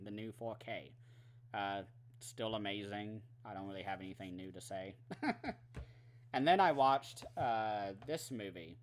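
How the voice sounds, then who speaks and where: A man chatting casually in a monthly movie vlog, rambling with many fillers and some swearing, his throat dry and his voice going. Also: lowish voice. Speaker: movie vlogger. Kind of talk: casual monthly wrap-up chat.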